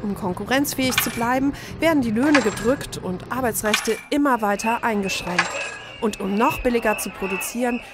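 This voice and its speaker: A woman narrating a scripted explainer in a measured, matter-of-fact way. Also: on the high side, heavy background noise.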